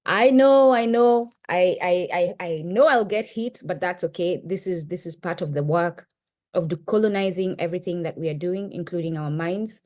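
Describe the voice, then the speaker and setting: A woman's voice: moderate pace, a little mellow. A middle-aged Kenyan woman with a Kenyan accent, co-hosting and giving an impassioned lecture-style talk, with emphatic rises and repeated checks of "right?". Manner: emphatic, rising pitch on key points, frequent "right?". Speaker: podcast co-host presenting.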